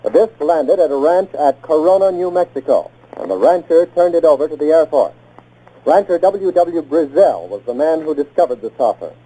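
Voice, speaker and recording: A man's voice: fairly high pitch, dark tone. An American man giving a scripted, matter-of-fact news report as a 1940s radio correspondent. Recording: very muffled 1940s radio broadcast.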